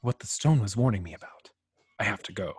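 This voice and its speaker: A man playing a gentle, troubled android in love, hesitant and earnest, in an amateur film table read. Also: on the low side, expressive, fairly quick, husky, no echo. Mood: grave. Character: gentle, lovestruck, troubled male android hero.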